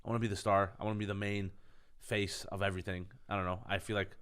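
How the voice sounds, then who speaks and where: A man's voice: on the low side, little pitch movement, fast talker, a bit bright, smooth. A man from Canada, a comedian guest, talking candidly and self-assuredly in a studio interview. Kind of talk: casual interview talk.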